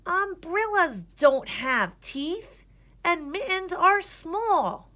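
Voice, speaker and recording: A woman's voice: extremely high pitch, lively intonation, drawn-out words, bright, forceful tone, pitched above the performer's own voice, heavily acted. A woman voicing an eager, bossy young girl groundhog twin, speaking emphatically in a storybook. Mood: brisk, helpful. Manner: urgent, emphatic.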